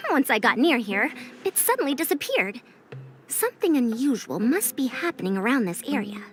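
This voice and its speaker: A woman's voice: sits high, sing-song, normal speed, faint music behind. A woman voicing a proud, self-assured veteran scholar in a video game, slightly haughty with old-fashioned phrasing. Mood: smug, confident. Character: confident, proud, brisk female veteran scholar. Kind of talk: voiced video game dialogue.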